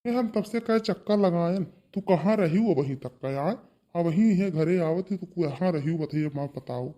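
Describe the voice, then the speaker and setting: A man's voice: sits high, normal speed, mellow, lightly acted. A man voicing a nagging, exasperated villager in a cartoon family comedy, with an Awadhi accent and a rustic, chiding manner.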